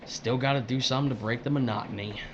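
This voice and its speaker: A man's voice: medium pitch, fairly even intonation, quick pace, close to an everyday voice. Cynical older male scavenger, played by an actor, folksy and needling; dull, noisy recording with ambience. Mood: joking, crude. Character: crude, leering, cynical older male corpse-stripping worker called Jimmy. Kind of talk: audio drama acting.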